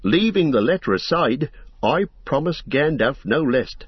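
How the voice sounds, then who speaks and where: A man's voice: a little high, lively intonation, measured pace, husky, no room sound, pitched above the performer's own voice. A man voicing a fussy, long-winded middle-aged innkeeper in an audiobook, flustered and apologetic. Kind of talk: audiobook character voice. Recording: slightly muffled audio.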